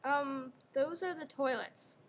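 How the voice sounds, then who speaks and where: Young camp guide read by a woman: high voice, matter-of-fact explaining, staged reading with faint rumble. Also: slow. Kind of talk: readers theater performance. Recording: faint background noise, low rumble.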